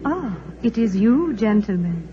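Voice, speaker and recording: An actress playing a polite young royal attendant, formal and soft-spoken, in a 1940s radio drama. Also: female voice, medium pitch, big pitch swings, measured pace. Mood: demure. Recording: muffled, hissy 1940s radio recording.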